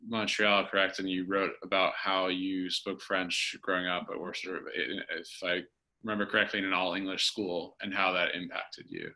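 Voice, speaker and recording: A male host interviews a film director in a friendly, halting way. His voice is very deep. Its tone is sharp and bright. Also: fairly even intonation, low-quality recording. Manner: friendly, halting, with ums and restarts. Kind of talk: casual interview.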